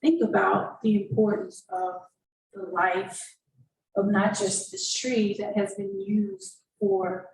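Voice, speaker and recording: A woman speaks as a panelist in an echoey, slightly noisy room. Her delivery is very slow and earnest, with pauses in the middle of phrases. The microphone is some way off. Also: medium pitch. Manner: halting, pausing mid-phrase, earnest. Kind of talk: reflective panel remarks. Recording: echoey room, distant mic, background noise.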